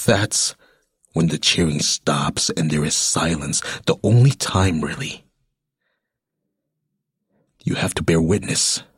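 An actor playing a weary, tender middle-aged uncle haunted by prison in a radio play, confiding haltingly and wistfully. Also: male voice, very deep voice, breathy edge, no echo, clean studio sound, exaggerated voice. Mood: grim, haunted.

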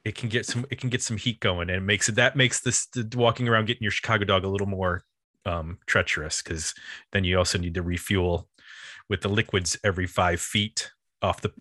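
Bright-voiced, nasal Buffalo man hosting. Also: deep voice, fairly even intonation, fairly quick, dry recording, clean studio sound. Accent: Buffalo, New York. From US East Coast. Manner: joking, chatty, digressive. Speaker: podcast host. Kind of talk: casual music podcast chat.

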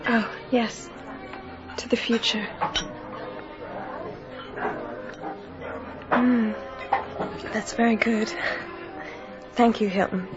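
An actress playing an earnest, gracious young bride in a radio drama, with a deliberate, heartfelt manner. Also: female voice, on the high side, steady tone. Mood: tender. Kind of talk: period radio drama acting. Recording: dull recording with music behind. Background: music.

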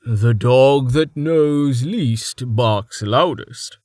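A solemn man intones sayings in a slow, grave cadence, as a male narrator takes the part. The close recording has no room echo. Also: mid-range voice, a little mellow. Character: solemn, proverb-quoting man.

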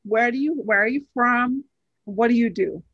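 Husky-voiced woman hosting a podcast. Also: fairly high pitch, moderate pace, dry recording, dull audio. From Barbados. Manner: earnest, repeats phrases for emphasis. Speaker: podcast host. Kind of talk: podcast interview.

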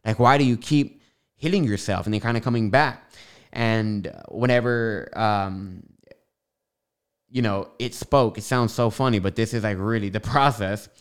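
A man's voice: on the low side, measured pace, clean tone. A young man with a lisp, hosting a podcast and talking casually in a rambling monologue full of fillers and tangents. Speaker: podcast host. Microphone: studio microphone.

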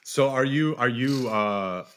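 A man's voice: mid-range voice, slow pace, fairly bright tone. A man in his thirties hosting a casual interview, friendly and chatty, often jumping in with remarks. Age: 30s. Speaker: podcast host.

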